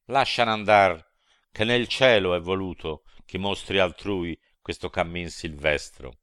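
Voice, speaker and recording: A man voicing a wise old guide who speaks calmly and solemnly to reassure his companion. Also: deep voice, unhurried, smooth, no room sound, studio quality. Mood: firm. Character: wise old male guide, calm and steady.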